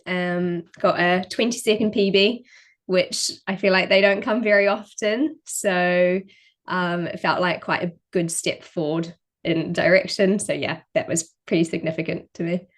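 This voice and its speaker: A woman's voice: lowish voice, unhurried. Modest young New woman. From New Zealand. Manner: relaxed, modest, frequent ums and likes. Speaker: podcast guest, runner. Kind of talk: casual interview chat.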